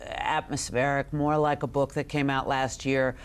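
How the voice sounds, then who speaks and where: A middle-aged woman giving an expert interview as a guest, speaking conversationally and haltingly as she thinks aloud. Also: a bass voice, level tone, clean tone. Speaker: books editor, interview guest.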